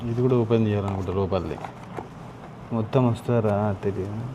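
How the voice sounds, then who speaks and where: A man's voice: fairly low pitch, steady tone, a little fast, lo-fi sound, noisy background. A man with a Telugu accent talking informally and thinking aloud as he casually walks through a product. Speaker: motovlogger unboxing and installing gear. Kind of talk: casual unscripted product walkthrough.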